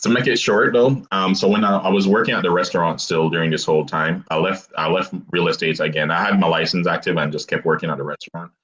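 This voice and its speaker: Deep-voiced young man, shy and laughing. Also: steady tone, fairly bright tone. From abroad, grew up outside the US. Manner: laughs often, self-deprecating, halting restarts. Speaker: guest. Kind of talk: casual interview chat. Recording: dull lo-fi online call audio.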